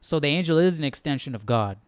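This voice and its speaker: A man's voice: a little high, expressive, fast, clean tone, dry recording. A man hosting a Bible study livestream, talking casually and thinking aloud in questions. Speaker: host of a live Bible study.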